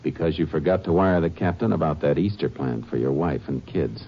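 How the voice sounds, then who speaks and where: A man's voice: a bass voice, brisk, breathy edge, full character voice. An actor in a 1950s radio drama playing a laconic, dry Texas Ranger who narrates his own case. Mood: calm, tense. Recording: dull old radio broadcast with music.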